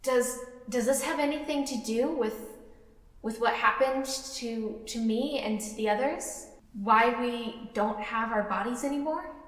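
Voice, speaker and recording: A woman's voice: fairly high pitch, a little slow, recorded from a distance, a little hiss or hum, natural voice. A woman playing an earnest, hesitant female seer in a tabletop fantasy game, polite and tentative as she questions others. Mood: anxious, humble.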